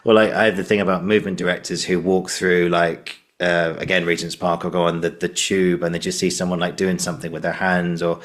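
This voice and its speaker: A man's voice: deep voice, little pitch movement, a little fast, few pauses, fairly bright tone. A British man hosting a podcast, chatting casually and encouragingly, repeating phrases for emphasis. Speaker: podcast host. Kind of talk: casual interview chat.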